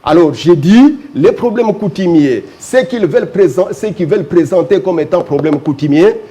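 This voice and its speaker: A man speaks in a fairly high but mellow, warm voice. He answers as a guest in a televised interview. He is measured and insistent, and he hammers his points home by repeating them. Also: expressive. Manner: measured, insistent, repeats key phrases for emphasis. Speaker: local notable, guest. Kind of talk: TV talk show interview.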